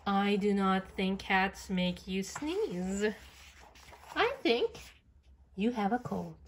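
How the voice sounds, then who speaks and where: A woman voicing a calm, matter-of-fact cat doctor in a storybook, measured and reassuring. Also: medium pitch, a little hiss or hum, voice pushed down.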